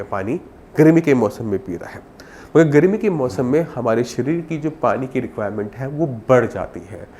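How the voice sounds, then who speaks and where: Casting: male doctor-presenter, mid-pitched voice thickened by a cold, instructive delivery, some noise behind. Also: mellow. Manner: instructive, addresses viewer directly with simple examples. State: sore throat and a cold. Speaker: doctor presenting health advice. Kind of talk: explanatory health advice to camera.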